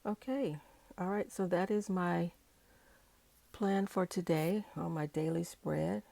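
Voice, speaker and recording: Low, raspy woman with a cold. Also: mellow, dry recording. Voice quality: raspy, froggy voice. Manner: unhurried, thinking aloud with frequent okays and uhs. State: hoarse from a cold. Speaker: digital planner video creator. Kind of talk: casual talk-through while decorating a planner. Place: indoors at a tabletop, hands working an overhead-filmed tablet.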